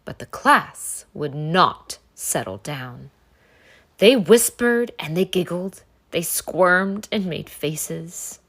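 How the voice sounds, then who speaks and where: Slow, very animated woman reading aloud. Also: on the low side. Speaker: storybook reader. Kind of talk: expressive children's read-aloud narration.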